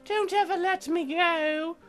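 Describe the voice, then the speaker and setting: A man's voice: very high voice, steady tone, slow pace, fairly bright tone, faint music behind, voice pushed up, heavily acted. A man voicing a lovestruck, restless young small-town woman in a gushing, overdramatic way for a game.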